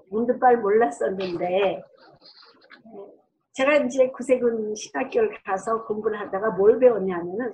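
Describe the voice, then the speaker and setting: A middle-aged woman preaching as a pastor in an earnest, conversational way, with a strong, loud voice. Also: on the high side, creaky, rough recording. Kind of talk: sermon with personal testimony.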